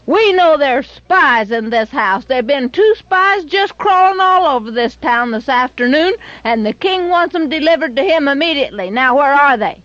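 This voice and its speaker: A woman voicing demanding male guard soldiers who bark their questions loudly. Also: sits high, animated, moderate pace, few pauses, no echo, voice pushed up. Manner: loud, barking orders. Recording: dull lo-fi recording.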